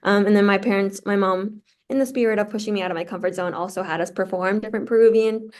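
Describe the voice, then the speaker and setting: A young woman, talking casually as a guest in an interview. Her pitch sits in the middle of the female range. She strings her thoughts together with a constant stream of "like" and "um.". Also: steady tone, quick pace. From born in Peru, raised in the United States. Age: 20s. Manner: chatty, heavy use of "like" fillers. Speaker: guest, law student.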